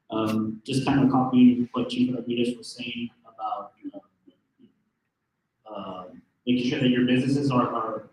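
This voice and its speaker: A man with a loud voice giving a fire safety briefing to a room of business people, hesitant with many ums and restarts. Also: medium pitch. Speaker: fire marshal office representative. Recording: echoey room, far from the mic.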